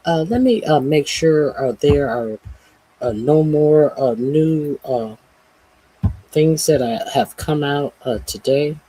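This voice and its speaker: A man hosting a political commentary monologue, speaking haltingly with many "uh"s and a tone of exasperated disbelief. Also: fairly high pitch, lively intonation, dark tone, rough recording.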